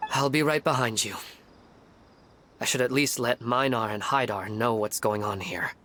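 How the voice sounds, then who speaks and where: A man acts out a kind husband and father for a video game. His voice sits at a middle pitch. He speaks earnestly and gently, reassuring those around him, over faint background noise. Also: normal speed, close to an everyday voice. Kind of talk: animated video game voice acting.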